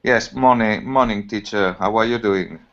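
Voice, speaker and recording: A man talking in halting, apologetic English, an IT teacher introducing himself to an online class. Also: on the low side, fairly bright tone. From born in Italy, lives in Spain. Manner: halting, apologetic, self-deprecating. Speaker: IT teacher, student. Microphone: video call. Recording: muffled video call audio.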